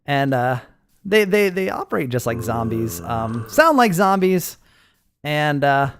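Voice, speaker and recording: A man hosting a gaming video with casual, playful, excitable roleplay commentary. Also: on the high side, a little slow, faint music behind.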